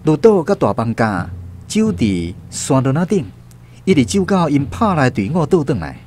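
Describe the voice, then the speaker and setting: A male narrator tells a radio drama with a Taiwanese accent. His storytelling is dramatic and animated, moving widely in pitch over prominent background music. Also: normal speed.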